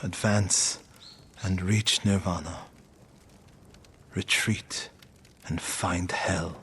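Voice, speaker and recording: An actor playing a grieving, vengeful warrior monk in a video game, speaking in a solemn, brooding and terse way. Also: male voice, low-pitched, fairly even intonation, drawn-out words, a bit bright, slight background noise, exaggerated voice. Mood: hushed, haunted. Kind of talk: dramatic video game cutscene acting.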